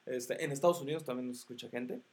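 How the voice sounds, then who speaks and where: A man's voice: medium pitch, quick pace, a little mellow. A man with a Mexican accent hosting a casual tech podcast, rambling with many fillers and self-interruptions. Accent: Mexican Spanish. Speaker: podcast host.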